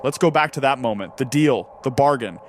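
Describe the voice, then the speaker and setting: An actor playing a weary, candid time-traveling interviewer, speaking in a wry, understated, terse way in a conversational audio drama. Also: male voice, mid-range voice, lively intonation, a little fast, a little hiss or hum, lightly acted. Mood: subdued, curious.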